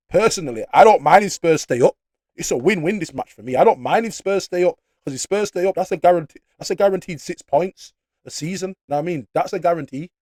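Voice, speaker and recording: A young man from Leeds with a Yorkshire accent, hosting a casual football channel and giving his opinions in slangy, animated, mocking talk. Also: fairly high pitch, dry recording, clean studio sound. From Leeds, northern England.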